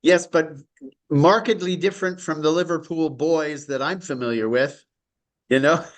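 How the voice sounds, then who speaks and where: A man's voice: on the high side, steady tone, normal speed. A man teaching an online study class, enthusiastic and rambling, with frequent chuckles. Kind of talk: informal lecture on a call.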